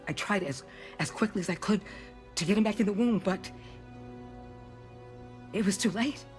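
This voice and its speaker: Game role: weary, sorrowful doctor, highish voice, very measured and halting, music underneath. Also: male voice. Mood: grieving, regretful. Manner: halting, measured delivery in short broken phrases. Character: grieving, weary doctor who delivers babies, pleading for refugee mothers. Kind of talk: cinematic video game drama.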